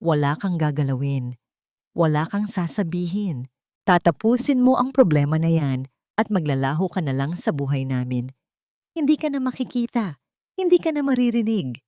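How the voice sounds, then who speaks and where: Cold wealthy matriarch, played by a female narrator, soft-spoken, commanding, menacing, lowish pitch, audio drama, dry close sound. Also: very animated, normal speed, dry recording. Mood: cold, threatening.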